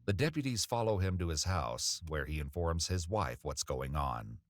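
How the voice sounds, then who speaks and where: A man's voice: sits low, lively intonation, normal speed, dry recording, clean studio sound. A man reading a scripted true-crime narration about police footage as the narrator.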